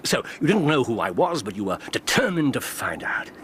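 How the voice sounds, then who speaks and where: An English actor playing a brilliant, self-assured detective in a radio drama, with a precise, mercurial, teasing manner. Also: male voice, medium pitch, big pitch swings, moderate pace, fairly bright tone, slight background noise. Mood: placating.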